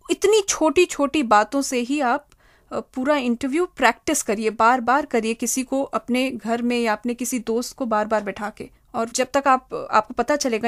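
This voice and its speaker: Higher-pitched woman explaining step by step. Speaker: interviewed career expert giving job advice. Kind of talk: interview answers, explanatory advice.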